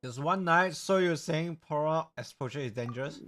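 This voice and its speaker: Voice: medium pitch, moderate pace, fairly bright tone. A streamer reacting live to a game with puzzled, exclamatory interjections.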